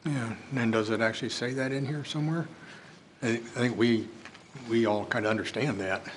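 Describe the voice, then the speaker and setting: An American man speaking as a council member at a formal meeting, measured and tentative. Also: mid-range voice, fairly even intonation. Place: council chamber, seated at the dais. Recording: clearly noisy meeting-room recording.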